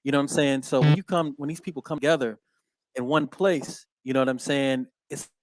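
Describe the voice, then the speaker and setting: A man hosting a casual podcast chat, filling his talk with "you know what I'm saying" and giving steady "mm-hmm" as his guest talks. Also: medium pitch, fairly quick, dry recording, poor audio. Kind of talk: casual conversation.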